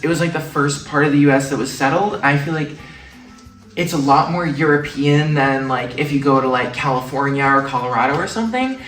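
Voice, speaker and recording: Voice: medium pitch, fairly bright tone. A young American exchange student from New England, rambling with lots of fillers. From New Hampshire. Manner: rambling, hedging with many fillers. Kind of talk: casual chat. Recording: echoey room, distant mic, background music.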